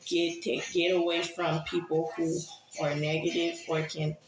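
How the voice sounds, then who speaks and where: A woman from Haiti giving a personal, confessional monologue to viewers in a hesitant, halting way, often repeating herself. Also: sits low, flat delivery, echoey room, over music, poor audio. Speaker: vlogger sharing personal experience.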